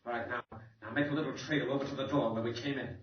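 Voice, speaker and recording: An actor playing a ruthless, smooth-talking criminal in a 1940s radio drama, giving clipped, coolly menacing commands. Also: male voice, medium pitch, steady tone, fast, distant mic, slight background noise, close to an everyday voice. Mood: scheming. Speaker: villain Bart. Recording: dull old radio recording with roomy echo from off-mic.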